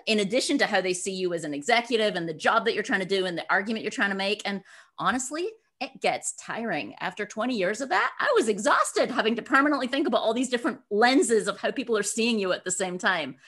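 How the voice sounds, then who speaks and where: Middle-aged woman, author guest, conversational, animated delivery, medium pitch. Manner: animated, acts out imagined quotes. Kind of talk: conversational interview answers.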